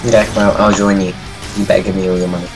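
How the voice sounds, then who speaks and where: A man's voice: deep voice, level tone, very fast, over music. A young man chatting casually with a friend as they play a game together. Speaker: co-player on voice chat. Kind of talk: casual gaming chat.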